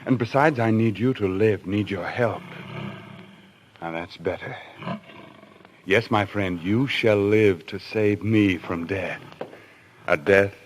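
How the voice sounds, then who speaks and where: A low-pitched man as a haunted big game hunter in a vintage radio thriller. He is dramatic and tense, hesitating defensively as if burdened by guilt. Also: takes it slowly, pauses often, a little hiss or hum. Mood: soothing, brooding. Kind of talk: old-time radio thriller acting.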